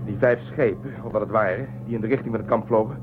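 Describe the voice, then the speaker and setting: A man's voice: lowish voice, fast talker, natural voice. A man playing a brusque, impatient and headstrong spaceship commander in a science fiction radio play. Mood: alarmed. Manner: brusque, challenging. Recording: phone-like lo-fi audio with loud noise.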